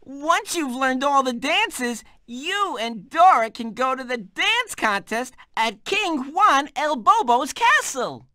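A chirpy cartoon map guiding small children through a game. The voice is high and bright, with slow, emphatic explanations. It sounds eager and helpful. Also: male voice, very animated, no room sound, clean studio sound, heavily acted. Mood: eager, explaining. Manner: emphatic, explanatory, addressing young children directly.

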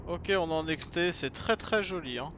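A man's voice: fairly high pitch, expressive, normal speed, over music. A man chatting and joking while playing a co-op game. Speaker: gamer, co-host of a gaming channel. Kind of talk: casual co-op gameplay chat.

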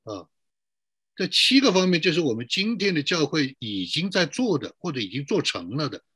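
A man's voice: on the high side, very animated, moderate pace, pushed and bright, husky, no echo. A middle-aged man pastor giving a sermon to an online church, posing rhetorical questions and drawing out emphatic phrases.

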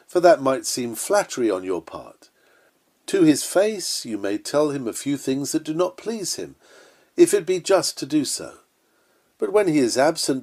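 A man's voice: fairly high pitch, darkish tone. A man reading an old-fashioned sermon aloud in an earnest, oratorical manner. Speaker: sermon reader.

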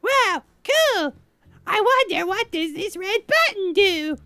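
A woman voicing an excitable, pushy young schoolgirl in a visual novel, with a squeaky voice. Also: slow, exaggerated voice. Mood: excited. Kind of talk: visual novel character dubbing. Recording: music behind the voice.

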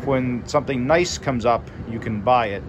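A man's voice: medium pitch, normal speed, highs cut off. A man talks in an easy, rambling way while he films his project in a small wooden garage. His voice sits in an ordinary middle range, with a faint hum of noise behind him. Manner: relaxed, conversational, loose with fillers. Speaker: railcar hobbyist showing his car. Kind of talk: casual video walkthrough. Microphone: phone camera held by the speaker.

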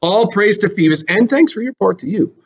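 A man's voice: high-pitched, animated, brisk, breathy edge, highs cut off, pitched above the performer's own voice, full character voice. A man voicing a resolute, questioning king in a Greek tragedy, with a declamatory, probing delivery.

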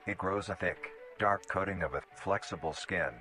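Male narrator, deep pitch, clinical scripted horror-documentary read, music clearly behind. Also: bright, forceful tone. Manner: measured, formal, clinical.

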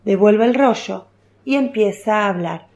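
A woman's voice: mid-range voice, measured pace, a little mellow, highs cut off. A woman narrating a radio drama as scripted storytelling.

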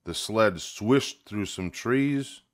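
An older man narrates a children's picture book in a low voice. He goes slowly and expressively, drawing out the key words. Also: animated. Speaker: storybook reader.

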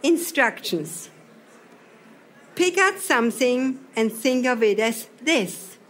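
A woman's voice: on the high side, expressive, slow, a bit bright, a little hiss or hum. Austrian woman leading a workshop talk. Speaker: seminar trainer. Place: event hall.

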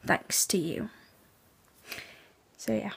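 A teenage girl from England, raspy and hoarse, chatting quietly in a late-night webcam vlog in her room, half-whispering so as not to wake the house. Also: mid-range voice, very slow. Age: teens. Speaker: vlogger. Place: bedroom at night, indoors. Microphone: laptop webcam.

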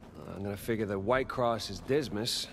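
An American actor playing a dry, skeptical treasure hunter sidekick in a video game, talking conversationally. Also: male voice, on the low side, moderate pace, gravelly, slight background noise. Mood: musing. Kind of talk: cinematic video game dialogue. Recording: game music behind the voice.

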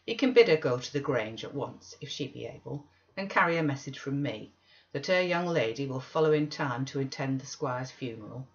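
A woman's voice: rumbling low, expressive, brisk. A curt, dismissive master of the house read by a woman gives callous orders in a storybook reading. The audio is slightly dull. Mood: callous, commanding. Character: gruff, callous adult male master of house.

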